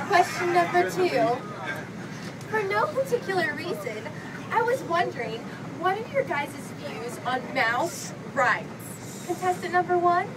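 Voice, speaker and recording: Casting: woman as prim young fairytale princess, swooping lively delivery, comedy skit, distant mic over crowd and rumble. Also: a little slow, full character voice. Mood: sweet, prim. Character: sweet young Cinderella as dating-show contestant chooser. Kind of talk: improvised comedy skit in game-show format.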